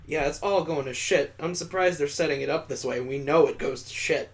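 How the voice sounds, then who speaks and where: Male let's-play host, slightly high voice, casual read-aloud delivery with sarcastic, irreverent asides. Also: brisk, vocal fry. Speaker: gaming video host. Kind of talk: casual let's-play reading with joking commentary.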